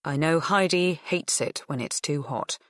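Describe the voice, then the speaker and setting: A woman's voice: sits low, a little slow, fairly bright tone, no room sound, clean studio sound. A woman narrating first-person fiction as a scripted audiobook reading.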